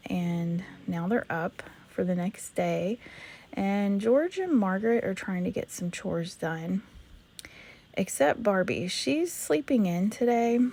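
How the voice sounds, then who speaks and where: A woman with a hoarse voice calmly narrating a life-simulation game as host of a let's play. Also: mid-range voice. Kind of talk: gameplay narration.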